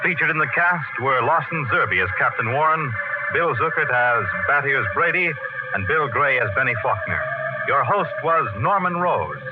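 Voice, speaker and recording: A man's voice: mid-range voice, animated, moderate pace, over loud music. A man reading credits as a 1950s radio announcer. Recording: dull, very noisy vintage radio recording.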